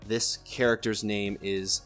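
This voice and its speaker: A man's voice: lowish voice, level tone, a little slow, over music. A man with a nasal voice chatting casually as a guest voice actor, self-deprecating and laughing often. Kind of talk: casual chat.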